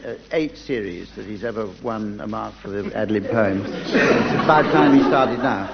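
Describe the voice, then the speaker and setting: A man's voice: on the low side, normal speed, gravelly. A man hosting a comedy panel game on the radio, speaking as chairman. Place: theatre with live audience. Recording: dull 1980s radio recording, noisy audience hall. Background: audience and background noise.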